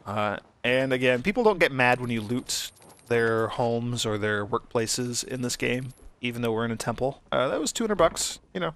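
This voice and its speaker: A man's voice: mid-range voice, lively intonation, normal speed, fairly bright tone. A man hosting a Let's Play, giving casual, easygoing commentary and explanations.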